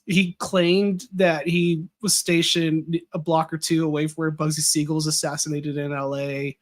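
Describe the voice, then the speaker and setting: A man's voice: fairly high pitch, level tone, moderate pace. An American man in casual conversation, rambling with fillers and restarts, saying he is losing his voice a little. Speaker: podcast guest.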